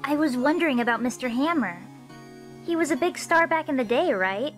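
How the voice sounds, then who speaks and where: Woman playing a high-voiced perky teenage girl. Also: animated, fairly quick. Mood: eager. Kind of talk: amateur fandub of a visual novel game. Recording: music faintly behind the voice.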